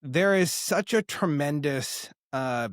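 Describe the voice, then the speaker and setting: A man hosting a podcast, asking questions gently and haltingly, with many restarts. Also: fairly high pitch, expressive, no room sound, clean studio sound. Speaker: podcast host. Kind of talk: interview questions.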